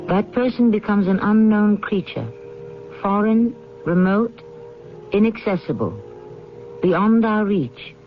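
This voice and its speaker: A man hosting a vintage radio mystery with grave, measured, theatrical narration. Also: sits high, faint music behind. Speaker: host of a radio mystery series. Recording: muffled vintage radio broadcast.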